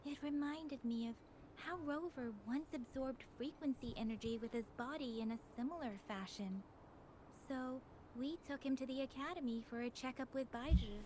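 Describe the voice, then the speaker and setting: An earnest young warrior woman from a video game, played by an actress, patiently explaining. Her voice is high and measured, over clearly audible ambient noise. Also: warm tone, close to an everyday voice, rough recording. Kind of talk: video game dialogue, expository.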